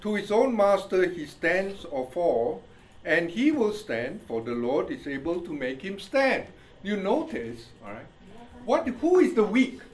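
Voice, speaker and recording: Lively high-voiced Indian-accented male pastor. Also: slight background noise, poor audio. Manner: slow scripture reading, constant "you see?" check-ins, chuckles. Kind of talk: sermon, Bible teaching.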